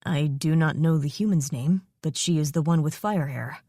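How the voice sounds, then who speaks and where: A woman voicing a male dragon speaking slowly and formally to his mate, in a dry, close recording. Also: sits low, warm tone, no echo, pitched below the performer's own voice. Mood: plain. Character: male dragon, possessive mate, calm and solemn.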